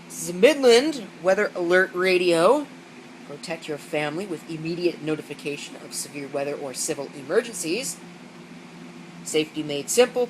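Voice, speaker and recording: Woman with a low voice doing a casual unboxing, matter of fact, rambles a bit, some background hum on a camera mic. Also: low-quality recording. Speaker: gadget reviewer showing an unboxing. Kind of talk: casual unscripted product walkthrough, reading packaging aloud. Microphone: built-in camera microphone. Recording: steady background hum, amateur camera audio.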